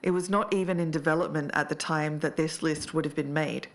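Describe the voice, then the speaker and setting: A woman's voice: deep voice, steady tone, a little fast. A woman co-hosting an investigative podcast, moving between scripted narration and casual talk. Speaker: podcast co-host.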